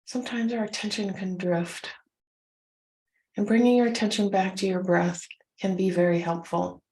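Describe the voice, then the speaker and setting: A woman leading a guided meditation, gently instructing in short, soothing phrases with unhurried pauses. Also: mid-range voice, fairly even intonation, rough recording.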